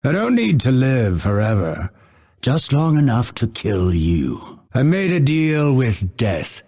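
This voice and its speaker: An actor voicing a grim, vengeful male assassin in a video game, husky and gravelly, with measured, solemn, dramatic delivery. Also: medium pitch, big pitch swings, darkish tone, heavily acted. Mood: bitter, vengeful. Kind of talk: scripted game character voice lines.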